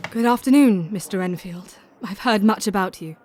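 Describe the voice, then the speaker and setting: Composed young Victorian heroine played by a woman, mid-pitched, formal and steely, for gothic radio play. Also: normal speed, a little mellow, slight background noise, close to an everyday voice. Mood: polite, guarded. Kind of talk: audio drama acting.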